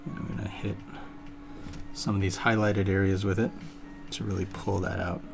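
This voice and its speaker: Deep gravelly man painting live. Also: normal speed. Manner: relaxed, thinking aloud while working; chats with live viewers. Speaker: artist painting on a live stream. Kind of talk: casual painting demonstration. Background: music playing.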